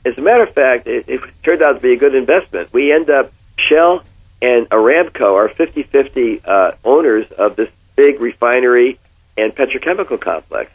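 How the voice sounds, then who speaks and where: An older man with an American accent recalls his life for an interviewer. His voice is mid-pitched and rough around the edges, and he repeats words for emphasis. The sound is narrow and thin, as if over a telephone. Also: lively intonation, moderate pace. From Connecticut, United States. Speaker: interviewee, former oil executive. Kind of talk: reminiscing in an interview. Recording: thin, phone-like band-limited sound.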